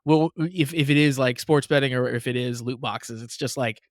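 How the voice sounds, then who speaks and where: A man co-hosting a podcast in casual chat, self-deprecating, quick to laugh and prone to stammered restarts. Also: mid-range voice, dry recording, clean studio sound. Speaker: podcast co-host.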